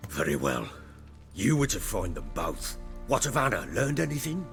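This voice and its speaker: An actor playing a gruff, grieving, volatile middle-aged baron in a dramatic video game, brooding and blunt. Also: male voice, fairly low pitch, big pitch swings, moderate pace, fairly bright tone, soft background music, exaggerated voice. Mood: gruff, weary. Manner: brooding, blunt, agitated when pressed.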